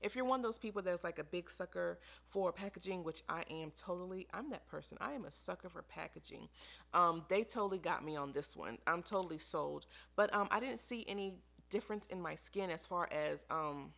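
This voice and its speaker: A woman's voice: on the low side, brisk, vocal fry, a bit bright. An American woman chatting casually to camera as a beauty vlogger, in an informal, emphatic way that repeats phrases for emphasis. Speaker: beauty vlogger reviewing products.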